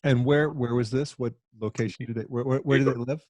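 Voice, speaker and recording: A man's voice: mid-range voice, steady tone, brisk, darkish tone, dry recording. A man with a Southern US accent hosting a podcast interview, asking plain questions in a self-deprecating way. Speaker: podcast host.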